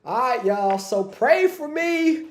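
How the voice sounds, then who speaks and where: A man hosting a livestream with a cold, raspy and stuffy-nosed, talking casually and hyping up his chat. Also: very high voice, animated, slow pace. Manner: upbeat, hypes the chat, says "y'all". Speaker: livestream host.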